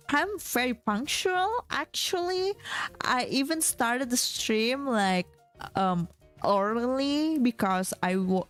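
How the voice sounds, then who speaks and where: A young woman streamer who is not a native English speaker, chatting casually with her viewers in a rambling way and laughing often. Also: fairly high pitch. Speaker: vtuber streamer.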